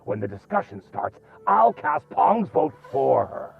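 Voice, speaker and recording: A man's voice: medium pitch, sing-song, measured pace, creaky, narrow, tinny audio, full character voice. A man acting a hot-headed, scornful sect elder in a video game, with biting, accusatory delivery.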